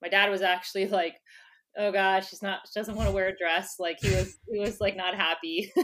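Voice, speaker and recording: A woman's voice: medium pitch, expressive, normal speed, a bit bright. A woman talking casually as a podcast guest, chatty and laughing often, with lots of filler words. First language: English. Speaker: interview guest, former soccer player. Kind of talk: casual conversational interview.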